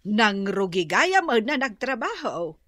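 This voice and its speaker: Slow-spoken, patient Ilocano mother. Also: fairly high pitch, very animated, a bit bright, no room sound, full character voice. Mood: chatty. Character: patient, wise Ilocano mother-in-law or older mother. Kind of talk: Ilocano radio drama acting.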